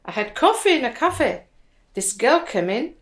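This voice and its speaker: A woman acting in a radio drama as an earnest budding performance poet, speaking deliberately. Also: high-pitched, very animated, slight background noise. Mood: animated, explanatory.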